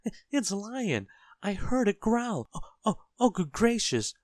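Shrill, stammering little panicker. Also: male voice, very animated, a little slow, dry recording, studio quality. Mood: terrified shrieking. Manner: stammering, melodramatic exclamations. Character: tiny timid male panicker, hysterically fearful, easily terrified.

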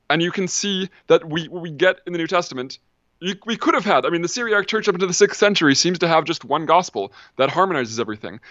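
A man's voice: mid-range voice, fairly bright tone, dry recording, highs cut off. A man, an ancient historian, talking as a podcast guest, thinking aloud with lots of ums and restarts. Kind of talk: casual academic discussion.

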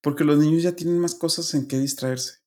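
A man's voice: fairly high pitch, fairly even intonation, fairly quick, a little mellow. A man with a Mexican accent who co-hosts a podcast, chatting casually in a joking, teasing way. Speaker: podcast co-host. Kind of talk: casual chat.

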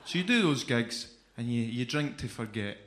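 A man's voice: medium pitch, expressive, normal speed, a bit bright. A Scottish man from Edinburgh works a comedy club crowd in an easy, chatty way, tossing in "right?" after his lines. His voice sits in the middle range. The room is echoey, with a faint hum of noise behind him. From Scotland. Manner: chatty, keeps adding "right?". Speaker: stand-up comedian. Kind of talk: stand-up set.

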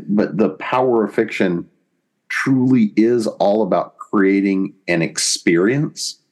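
A man with a deep, low voice speaks as an interview guest. He explains things conversationally and deliberately, pausing mid-sentence as he shapes each thought. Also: a little mellow. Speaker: podcast guest.

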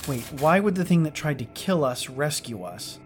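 A man's voice: a little high, big pitch swings, normal speed, soft background music. A man playing a sardonic, irritable starship lieutenant stranded after a disaster, muttering in exasperation in a science fiction audio drama. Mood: uneasy, annoyed. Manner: exasperated, muttering, hesitant asides. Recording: clearly noisy track with clicks.

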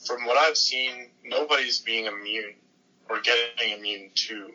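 Raspy, bright-voiced young man. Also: mid-range voice, flat delivery, a little slow, dull audio. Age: 20s. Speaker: interviewee.

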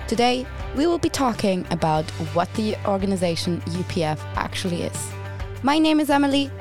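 A woman's voice: medium pitch, big pitch swings, a little fast, a little mellow, soft background music. A woman hosting a podcast, talking casually as she interviews her guests. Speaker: podcast host.

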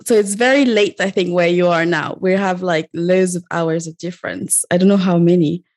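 A woman's voice: on the low side, steady tone, normal speed, a little mellow. A woman hosting a podcast interview in a casual, informal, chatty conversational way. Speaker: podcast host.

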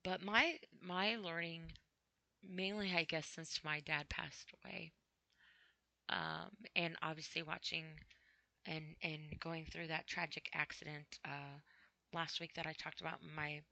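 A tired woman podcasting alone, rambling in a halting, apologetic way with many fillers after crying earlier. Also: sits low, steady tone, gravelly, bright, forceful tone, no echo. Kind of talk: casual rambling monologue. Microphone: computer mic with headphones on.

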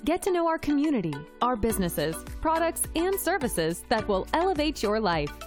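A woman reading a scripted, promotional show intro, with a bright announcer delivery. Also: high voice, big pitch swings, moderate pace. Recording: intro with music bed.

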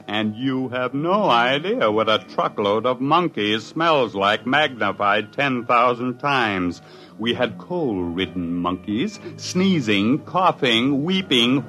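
A man's voice: lowish voice, fairly bright tone. An actor playing a weary, wry research scientist who tells his own story in 1950s radio drama style. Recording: 1950s radio broadcast, music behind.

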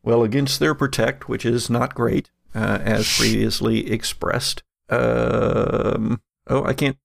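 A man narrating an improvised tabletop game as game master, telling it in a halting, deliberate way. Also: medium pitch, steady tone, vocal fry, no room sound, studio quality.

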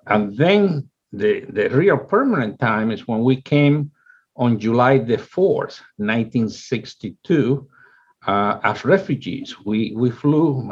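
A man's voice: mid-range voice, slightly rough. A man in his seventies, born in Cuba, speaking English as an interview guest, telling his life story in a rambling way with many restarts. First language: Spanish. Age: 70s. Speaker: guest, company chief executive. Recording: dull, lo-fi audio.

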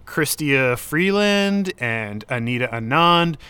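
A man's voice: medium pitch, expressive, slow, bright, forceful tone, smooth, no echo. An actor playing a proud, defensive Canadian man in a naturalistic audio drama, earnest and argumentative, well-meaning but condescending.

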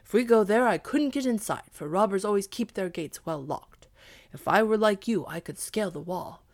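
A woman doing Blackfoot, a gruff excitable dog. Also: mid-range voice, moderate pace, dry recording, studio quality, lightly acted. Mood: growling. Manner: growling, loud and excitable. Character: gruff loyal male dog, eager and hungry.

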